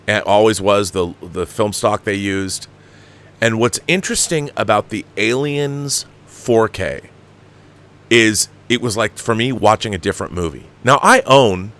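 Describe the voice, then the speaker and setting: A man's voice: on the low side, fairly bright tone. An American man in his fifties hosting an opinionated film commentary show, emphatic and exasperated, often repeating himself for emphasis. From United States. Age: 50s. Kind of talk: opinionated film commentary, reading viewer questions.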